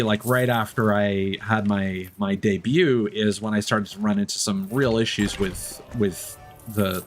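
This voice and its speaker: A man chatting casually as he livestreams a game, candid and reflective, in a halting, rambling way that often doubles back to correct itself. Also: fairly low pitch, a bit bright, a little hiss or hum. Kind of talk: casual streamer chat while gaming.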